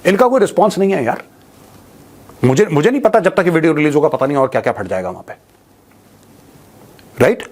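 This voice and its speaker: Blunt Indian man with a higher-pitched voice. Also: sing-song, a little fast, pauses often, darkish tone, breathy edge. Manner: blunt, colloquial, mocking. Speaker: commentator and show host. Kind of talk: informal news commentary to camera, mixing Hindi and English.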